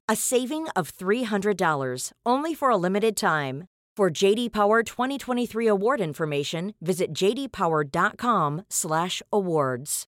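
A woman reading a scripted ad in a smooth, polished, promotional voice. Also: mid-range voice, no room sound, clean studio sound. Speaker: ad announcer. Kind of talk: scripted ad read.

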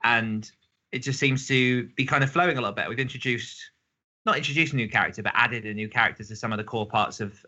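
A man's voice: medium pitch, pushed and bright, highs cut off. A man hosting a podcast in a casual, chatty style. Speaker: podcast host. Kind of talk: casual chat.

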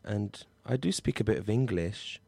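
A dry little comedy studio where a sheltered lad played by a grown man haltingly reasons with his dad at the kitchen table. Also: fairly low pitch, expressive, creaky, darkish tone, no room sound. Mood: hesitant, pleading. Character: earnest, sheltered nine-year-old boy pleading with his overprotective father. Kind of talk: improvised comedy role-play.

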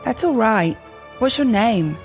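A woman's voice: fairly high pitch, lively intonation, measured pace, faint music behind, pitched above the performer's own voice. A woman voicing a vain, lovestruck, self-pitying teenage goth vampire girl in an overwrought, theatrical reading of fan fiction. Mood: exclaiming.

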